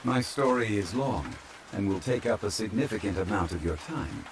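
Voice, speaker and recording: A low, deep male voice over steady rain , a man acting out an ancient, immortal gentleman from a fantasy game. He is calm, courteous and old-fashioned, with formal, measured phrasing meant for relaxing ASMR. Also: slight background noise, natural voice. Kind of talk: soft ASMR roleplay, fantasy game character. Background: rain falling.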